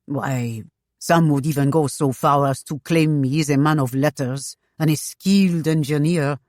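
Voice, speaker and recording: Woman doing a snippy french husband from a novel, low voice, sardonic, dry close sound. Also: moderate pace, a little mellow, no room sound, lightly acted. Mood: testy. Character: testy, gossiping French husband, a gentleman.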